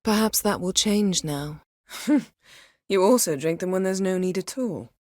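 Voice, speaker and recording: A woman playing a proud, sardonic, commanding sorceress in a video game, speaking in dry, measured, formal phrases. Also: medium pitch, animated, a little mellow, no room sound. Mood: dry, composed.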